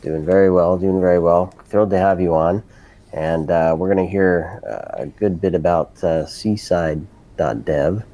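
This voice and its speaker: A man hosting a casual interview, speaking haltingly with lots of "uh" fillers and repeated words. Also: low-pitched, mellow. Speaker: podcast host.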